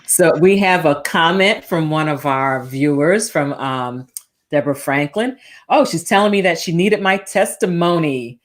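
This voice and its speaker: A woman's voice: low voice, animated, a little slow. A woman host with a New England accent chatting on a livestream and greeting viewers. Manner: warm chatty host reading viewer comments. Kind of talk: casual livestream talk show.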